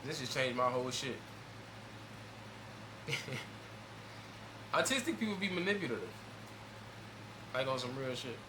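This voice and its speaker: A man's voice: medium pitch, measured pace, pauses often. A man hosting a reaction video, talking loosely and emphatically and speaking straight to his viewers. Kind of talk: casual reaction commentary. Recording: steady background noise.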